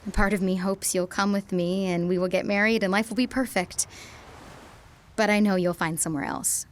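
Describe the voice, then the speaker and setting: A young woman playing a lovestruck, self-doubting high school girl in an audio drama, with an emotional, searching delivery. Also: steady tone, normal speed, slight background noise, natural voice. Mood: wistful, resigned.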